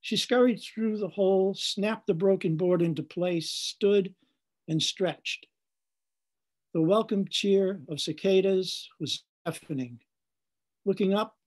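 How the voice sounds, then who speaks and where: Guy reading descriptive writing aloud, really slow n deliberate, high voice for a man. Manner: measured, short deliberate phrases. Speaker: writer reading at an open mic. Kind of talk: literary reading, descriptive narrative. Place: online video call open mic.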